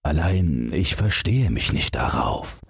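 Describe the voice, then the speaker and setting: A naive storybook snowman, voiced by a male reader, low and very husky. He talks slowly and musingly, full of stubborn self-importance. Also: very animated, no room sound, studio quality, full character voice. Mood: wistful, musing. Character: naive newborn snowman, proud, puzzled and stubborn.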